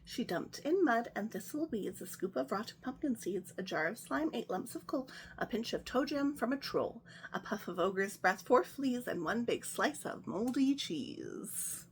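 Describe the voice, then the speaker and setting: Woman, story time host, sing-song children's reading, high voice. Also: expressive, unhurried, a little hiss or hum. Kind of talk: reading a picture book aloud to children.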